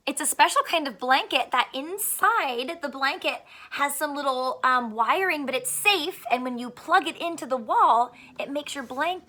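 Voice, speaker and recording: Woman teacher for children's read-aloud: high, bright voice, expressive, pauses to explain and ask simple questions.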